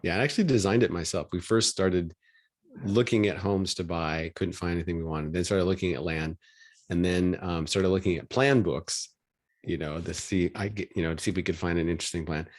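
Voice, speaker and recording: A man's voice: deep voice, brisk. A middle-aged man from Washington State telling a story in a matter-of-fact, chatty way on a video call. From Washington State, USA.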